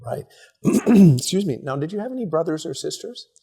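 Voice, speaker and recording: A male interviewer asks politely hesitant questions, often pausing on fillers and restarting his sentences. His voice is husky, with a dark, mellow tone. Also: a little high, expressive. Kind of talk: oral history interview.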